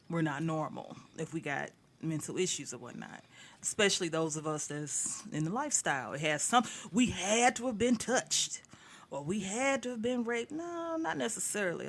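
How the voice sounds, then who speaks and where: A woman's voice: low-pitched, fairly bright tone. A woman co-hosting a casual podcast, talking frankly and leading the questions. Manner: frank, conversational, leads the questions. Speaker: podcast co-host.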